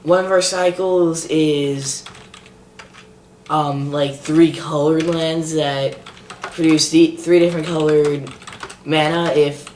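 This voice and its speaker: A man's voice: on the high side, steady tone, slow pace, a little mellow. A man with a congested voice, co-hosting a casual podcast and chatting with short agreeing interjections. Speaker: podcast co-host. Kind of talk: casual card-design chat. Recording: echoey room with faint noise.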